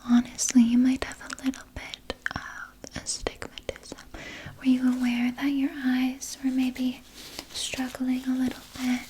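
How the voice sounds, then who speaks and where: A woman voicing a soothing, sly vampire nurse in a gentle, breathy ASMR whisper, reassuring a patient with small hesitant pauses. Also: high voice, monotone, background noise. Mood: gentle, clinical. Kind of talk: ASMR personal attention roleplay.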